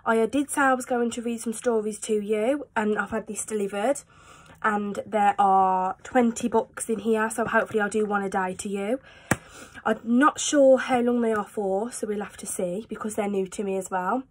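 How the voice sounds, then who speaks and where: A woman's voice: mid-range voice, fairly even intonation, moderate pace, rough recording. A woman in her twenties reading a children's storybook aloud like a teacher to pupils. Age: 20s. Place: small room at home, indoors. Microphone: phone at arm's length.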